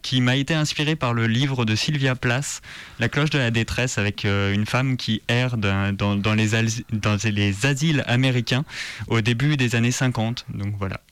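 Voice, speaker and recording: A man with a French accent presenting on radio in a slightly halting manner. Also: mid-range voice, steady tone, smooth, dry recording. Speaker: radio show contributor. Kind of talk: radio presenting, partly read from script.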